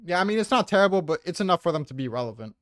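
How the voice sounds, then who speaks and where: A man's voice: sits high, big pitch swings, very fast, fairly bright tone, no echo, clean studio sound. A man defending his opinions in a casual debate, informal and prone to swearing. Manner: informal, profane. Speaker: tier list maker defending placements. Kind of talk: casual debate about manga character rankings.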